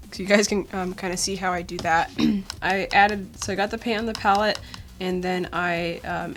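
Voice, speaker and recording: A young woman hosting a drawing tutorial, talking casually with many ums, her voice still weak from a cold. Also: mid-range voice. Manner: relaxed, chatty, with many ums and uhs. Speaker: art tutorial host. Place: indoors at a drawing desk.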